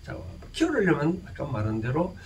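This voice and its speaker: A man with a Gyeongsang Korean accent giving an informal explanatory lecture, speaking haltingly and often putting rhetorical questions to his listeners. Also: fairly high pitch, wildly swooping pitch, darkish tone. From Gyeongsang region, South Korea. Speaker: lecturer and counsellor. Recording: distant mic with faint background noise.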